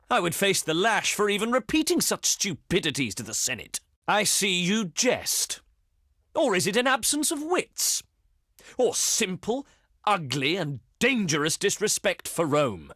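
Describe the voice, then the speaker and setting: A man's voice: on the high side, a bit bright, no echo, clean studio sound. A man playing a proud, easily offended Roman envoy in a video game, with pompous, formal, oratorical delivery. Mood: indignant, scornful. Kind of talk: theatrical video game diplomacy voice lines.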